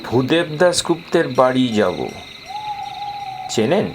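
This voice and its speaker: A man's voice: medium pitch, sing-song, a little slow, noisy background. An actor playing a stranded city film director in an audio horror story, politely explaining where he needs to go. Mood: eager, polite.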